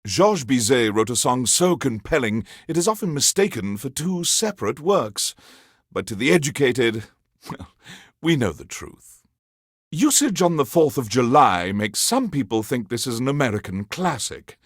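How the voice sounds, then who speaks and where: A grandiose alien emperor presenting classical music on a video game radio station. His delivery is unhurried and theatrical, with sweeping rises and falls in pitch as he savors each phrase. He talks down to his subjects with lofty condescension, in a very dry, close studio sound. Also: very animated, no echo. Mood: pompous, lecturing. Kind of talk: theatrical scripted radio host patter.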